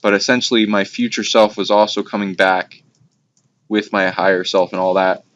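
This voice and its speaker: A man with a very low voice talks in long, wandering sentences. His delivery stays quite monotone, in the style of an informal spiritual talk. The recording is muffled and lo-fi. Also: normal speed. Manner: rambling, long run-on monologue. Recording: dull lo-fi recording with background noise.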